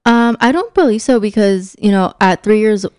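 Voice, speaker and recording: A woman's voice: medium pitch, lively intonation, normal speed, a little mellow, clean tone, no echo, clean studio sound. A young American woman, bilingual in Spanish and English, telling personal stories candidly and reflectively in casual conversation. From Phoenix, United States; born in Mexico. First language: bilingual native Spanish and English. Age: young adult. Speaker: interview guest.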